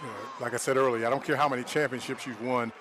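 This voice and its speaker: A man's voice: medium pitch, steady tone, very fast. A man giving conversational color commentary and analysis during a live football broadcast.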